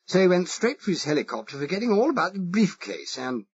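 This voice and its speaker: A man's voice: high voice, sing-song, fast, husky, voice pushed up, full character voice. A man voicing a flustered, pompous upper-class Englishman with an exaggerated English accent. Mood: flustered posh. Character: posh Englishman feigning fussy secretary. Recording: dull lo-fi recording.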